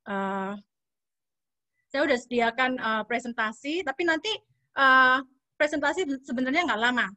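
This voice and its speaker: A woman's voice: a little high, sharp tone, no room sound. A woman in her thirties with a Javanese accent, chatting casually as a webinar guest speaker and mixing in English words. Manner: chatty, mixes in English words, many fillers.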